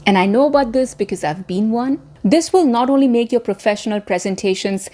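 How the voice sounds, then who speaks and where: A woman's voice: a little high, fairly quick, darkish tone. A woman hosting a scripted instructional video like a trained presenter, articulate and emphatic, posing rhetorical questions. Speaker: former media presenter and voice coach hosting tutorial.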